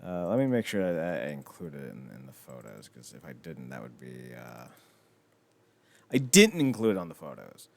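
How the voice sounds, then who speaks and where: A man's voice: extremely low pitch, huge pitch swings, normal speed, gravelly, a little mellow, no echo. A man hosting a podcast in casual chat about films, rambling along with blunt, wry opinions. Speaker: podcast host.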